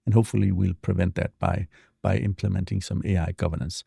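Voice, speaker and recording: A man walking through a software demo in a relaxed, explanatory way, with frequent fillers, speaking close to a desk mic. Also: low voice, fast, vocal fry, slightly rough, dry recording. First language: not English. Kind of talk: screen-share tutorial walkthrough.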